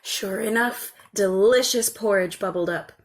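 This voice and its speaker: A woman's voice: mid-range voice, a little hiss or hum. Woman narrating a children's story. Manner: measured, expressive.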